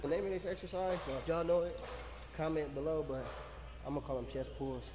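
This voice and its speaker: A man's voice: on the high side, fast, heavy background noise. A young man casually narrating his chest workout between sets, in a laid-back way with slang, recorded by a camera across a large indoor gym. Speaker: fitness vlogger. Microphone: camera on a stand across the room. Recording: echoey gym, far from camera.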